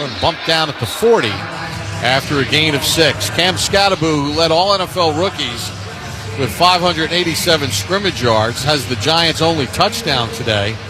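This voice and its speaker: A man calling play-by-play in live radio football commentary. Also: a little high. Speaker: play-by-play announcer. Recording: loud stadium ambience behind commentary.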